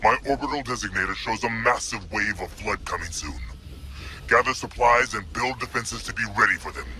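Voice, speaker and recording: An actor playing a fierce, loyal alien warlord commander in a video game, giving orders in a declamatory military manner. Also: male voice, fairly low pitch, fairly quick, slight background noise, low-quality recording. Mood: controlled command. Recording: loud music behind the voice.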